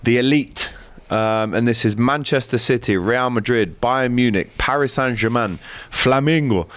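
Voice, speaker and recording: A man's voice: mid-range voice, moderate pace, fairly bright tone, no room sound. A man co-hosting a football chat, upbeat as he runs through lists of teams. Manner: upbeat, reads lists of team names. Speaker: co-host.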